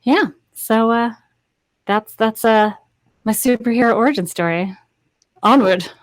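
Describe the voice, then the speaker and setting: A woman's voice: fairly high pitch, measured pace, no echo. A woman in her thirties telling casual anecdotes as a voice actor guest on a remote panel, close on the microphone. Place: indoor home recording space, video call.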